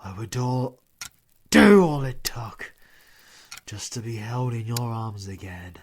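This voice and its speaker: A British man playing a grieving, bitter werewolf villain in an audio roleplay, speaking in a theatrical, anguished, breathy manner. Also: mid-range voice, very animated, exaggerated voice. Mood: haunted, sorrowful. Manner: slow, theatrical, anguished, often breathy and half-whispered. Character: grieving male werewolf villain, bitter and powerful, mourning his mother. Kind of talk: scripted audio roleplay monologue.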